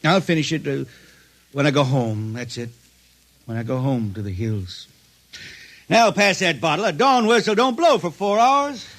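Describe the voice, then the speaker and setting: An actor playing a weary, wandering spaceman balladeer, folksy and halting, in a 1950s science fiction radio drama. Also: on the high side, animated, fairly bright tone. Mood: troubled longing. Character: weary wandering spaceman balladeer, wistful, gaunt, hard-drinking. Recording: noisy vintage radio recording.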